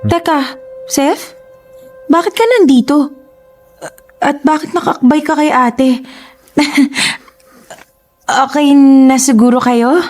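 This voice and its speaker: A woman's voice: sits high, steady tone, slow, pauses often, darkish tone, soft background music. An actress playing a sweet, unsuspecting younger sister and girlfriend in a radio drama, with a Filipino accent. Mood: surprised, affectionate.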